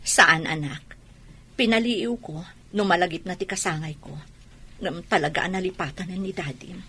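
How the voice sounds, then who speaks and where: A woman's voice: lowish voice, normal speed, bright, forceful tone, husky. A woman playing a hurt, suspicious wife and patient mother in an Ilocano audio drama. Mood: gently sad.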